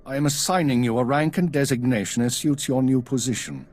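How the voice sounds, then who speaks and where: An actor playing a stern intelligence chief in a video game, with a husky voice and a clipped, authoritative, measured delivery. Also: male voice, medium pitch, darkish tone, lightly acted. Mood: grave, commanding. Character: stern male spymaster, commanding and coolly measured.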